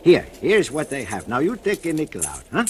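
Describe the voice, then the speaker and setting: An older man, acting a gentle fatherly shoemaker and narrating in an old radio drama, with soft chuckles. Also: on the high side, huge pitch swings, fairly quick, a little hiss or hum.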